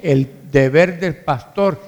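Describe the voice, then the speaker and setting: A man's voice: a little high, slow, darkish tone. A man with a Dominican accent preaching as a pastor, emphatic yet conversational, repeating phrases for emphasis. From Dominican Republic. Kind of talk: sermon. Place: large reverberant room, church service. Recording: hall reverb.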